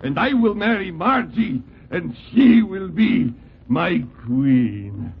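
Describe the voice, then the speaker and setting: An actor playing a stubborn, commanding young foreign king turned boxer in a 1940s radio drama, with stilted, deliberate phrasing. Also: fairly high pitch, sing-song, creaky, heavily acted. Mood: stern, commanding. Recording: tinny, noisy 1940s radio recording.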